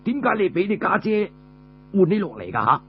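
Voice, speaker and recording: A man voicing an elderly army commander in an audiobook tale, a slow, halting, paternal father figure. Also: high-pitched, big pitch swings, highs cut off, a little hiss or hum, voice pushed up. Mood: paternal, weighty. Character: elderly male army commander, weighty, privately grumbling father.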